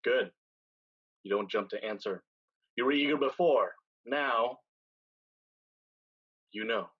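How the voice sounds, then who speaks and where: A man plays a seasoned pirate captain with a husky voice, heard on a slightly muffled recording. He speaks solemnly and deliberately, leaving weighty pauses as in a stage drama, weary of command yet tender. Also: mid-range voice, fairly bright tone. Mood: grave, instructive. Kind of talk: staged reading of a stage play.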